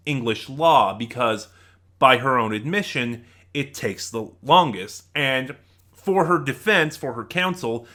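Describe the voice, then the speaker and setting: Man, bright forceful voice, mid pitch, casual reviewer, conversational, rambling, self-interrupting. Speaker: reviewer, channel host. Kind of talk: casual spoken audio drama review.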